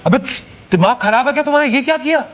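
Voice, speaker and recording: A man's voice: extremely high pitch, animated, quick pace, a bit bright, lo-fi sound. A man playing a proud, wronged young man who stands his ground in an audio drama. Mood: outraged.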